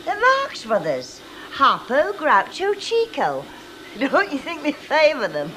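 A woman's voice: sits high, wildly swooping pitch, slow pace, noisy background, exaggerated voice. An actress playing a brassy middle-aged woman machinist, cheeky and defiant. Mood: mocking. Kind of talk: 1980s TV soap acting.

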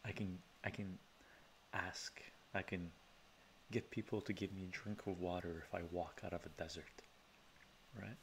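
A middle-aged man from Canada hosting a relaxed ASMR live stream from his home study, chatting softly, chuckling, often adding "right?". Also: sits low, normal speed, gravelly, long pauses, a little hiss or hum. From Canada, of Armenian descent from Iran. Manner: soft-spoken, chuckling, chatty asides with frequent "right?". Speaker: live-stream host reading chat. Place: home study lined with bookshelves. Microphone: webcam framing at desk.

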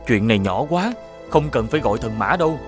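An actor playing a brave, earnest young hero in an animated fairy tale, with a southern Vietnamese accent. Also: male voice, mid-range voice, brisk, music in the background, close to an everyday voice. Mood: confident.

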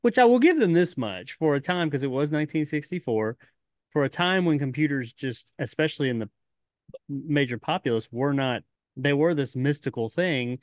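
A man's voice: a little high, expressive, normal speed, darkish tone, no echo, clean studio sound. A man co-hosting a podcast in casual chat, rambling and laughing often, swearing casually. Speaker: podcast co-host.

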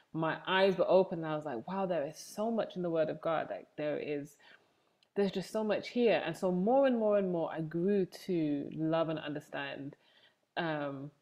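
Young woman, English accent, low register, interview guest speaking conversationally about herself on a video call. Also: a little mellow, highs cut off. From Manchester, England. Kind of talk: conversational personal testimony. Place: room at home, indoors, on a video call. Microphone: wired earphones on a video call.

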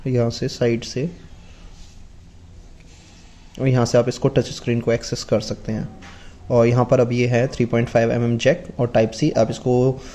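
Man, casual unboxing vlog, flat even delivery, mellow tone. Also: mid-range voice, slight background noise. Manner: explains step by step while handling gear. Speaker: motovlogger reviewing gear. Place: indoors at a table, off camera.

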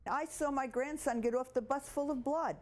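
A woman's voice: sits high, moderate pace, darkish tone. An older woman speaking emphatically in an interview as a grandmother. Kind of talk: interview account. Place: living room, indoors. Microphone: lapel.

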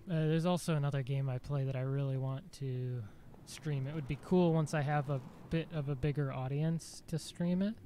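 Monotone man chatting on a game stream. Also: fairly high pitch, normal speed, few pauses, slight background noise. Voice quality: monotone, dry delivery. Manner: rambling, with fillers and self-corrections. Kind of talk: casual live-stream chat.

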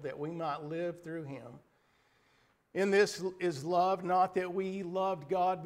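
Slightly hoarse middle-aged male preacher. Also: a little high. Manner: earnest, halting with fillers and throat clearing. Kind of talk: sermon. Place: small wood-paneled church, indoors. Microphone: pulpit microphone at a distance.

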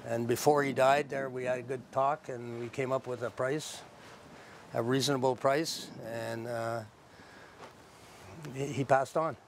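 A middle-aged man explaining his craft informally, in a plain-spoken, halting, reflective way, as a traditional builder. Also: medium pitch, fairly even intonation, a little hiss or hum. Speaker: traditional canoe builder and knowledge keeper. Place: outdoors under a park tent.

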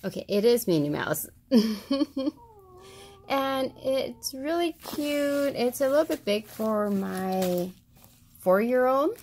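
A woman's voice: a little high, expressive, slow pace, darkish tone, a little hiss or hum. A woman casually showing items for a vlog, talking in a hesitant, rambling way in a carpeted room at home. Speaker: shopping haul vlogger. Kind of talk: casual narrated show-and-tell.

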